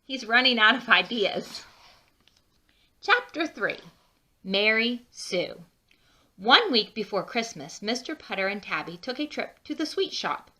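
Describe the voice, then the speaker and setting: A woman reading a children's storybook aloud with expressive, drawn-out emphasis and chatty asides. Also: fairly high pitch. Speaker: children's book read-aloud narrator.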